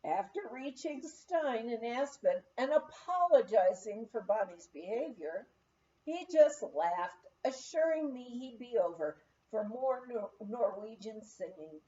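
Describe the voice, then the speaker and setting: A woman with a fairly high, very animated voice reads a light-hearted memoir aloud. She takes her time over each phrase in an expressive storytelling way. The recording is slightly dull and muffled. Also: unhurried. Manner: expressive storytelling, acting out quoted speech. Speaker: audiobook reader.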